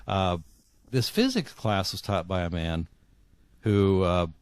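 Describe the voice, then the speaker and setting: Deep-voiced middle-aged man telling his story. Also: sing-song, clear, resonant tone, no room sound. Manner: halting, repeats words for emphasis. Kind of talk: reflective personal storytelling in an interview.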